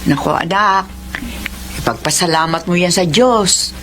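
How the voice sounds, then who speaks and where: A woman acting a grateful, curious middle-aged mother in a radio drama, motherly and expressive. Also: on the low side, measured pace, a little hiss or hum. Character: grateful, curious poor mother of grown daughter.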